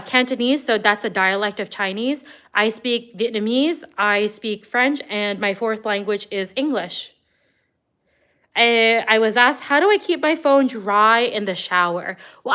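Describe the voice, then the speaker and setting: A woman's voice: medium pitch, fairly bright tone, smooth. A woman hosting a casual livestream, chatting as she answers viewer comments, with frequent "like" and "um" fillers. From Canada.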